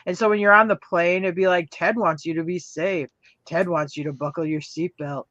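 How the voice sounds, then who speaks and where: A woman with a bright voice chimes in with quick, laughing interjections during casual chat. Her voice sounds dry and close, with a slightly dull, lo-fi quality. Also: lowish voice, fairly even intonation, dry recording. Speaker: co-host.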